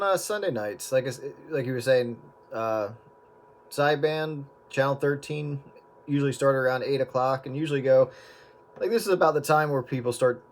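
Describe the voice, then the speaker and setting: A man chatting casually over CB radio from his room at home, friendly and relaxed with many fillers. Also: mid-range voice. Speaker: host, CB radio operator. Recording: loud static hiss.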